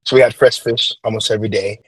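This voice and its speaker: A man's voice: fairly low pitch, fairly even intonation, fast, fairly bright tone, breathy edge, no room sound, low-quality recording. Trinidad-raised man telling his story. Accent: light Trinidadian. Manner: earnest, reflective, with many fillers and restarts. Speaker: guest. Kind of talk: interview, personal story.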